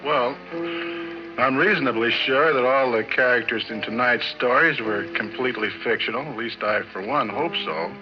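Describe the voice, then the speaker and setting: A man's voice: medium pitch, moderate pace. Dry, wry man on muffled old radio. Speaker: radio show writer-director. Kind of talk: 1940s radio sign-off. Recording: muffled old radio recording over music.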